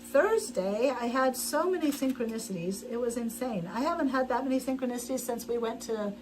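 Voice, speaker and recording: A middle-aged woman with a slightly high voice chats as she works, rambling and restarting her sentences. Clear background noise sits under her home stream audio. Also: lively intonation, a little fast, darkish tone, rough recording. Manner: chatty, rambling, frequent laughs and restarts. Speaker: livestream host. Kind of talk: casual chat while sewing.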